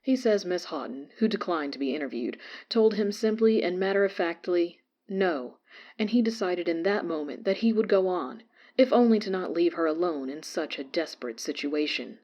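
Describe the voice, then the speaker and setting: A woman playing a radio newscaster who reads a disaster report in a measured, formal way. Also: lowish voice, animated, darkish tone, muffled recording. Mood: sober, formal. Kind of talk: scripted news report in audio drama.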